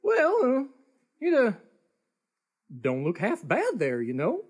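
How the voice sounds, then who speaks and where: An actor playing a blustery, stubborn, excitable old man with folksy talk in old-time radio comedy. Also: mid-range voice, slow pace, breathy edge, exaggerated voice. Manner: folksy, swooping, argumentative.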